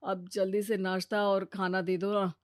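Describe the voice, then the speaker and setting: A woman's voice: medium pitch, level tone, normal speed, no room sound, clean studio sound, lightly acted. Lady doing an annoyed young husband for a cartoon, complaining, sarcastic. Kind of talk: Hindi moral-story cartoon acting.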